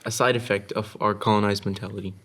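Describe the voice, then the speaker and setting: A man's voice: on the low side, level tone, fast talker, a bit bright. A man co-hosting a podcast discussion, speaking casually and prompting the others with questions. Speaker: podcast co-host.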